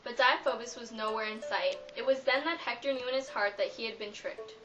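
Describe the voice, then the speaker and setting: Higher-voiced woman narrator, dull lo-fi sound. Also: a little fast. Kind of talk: scripted storytelling narration. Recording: dull lo-fi sound, music behind.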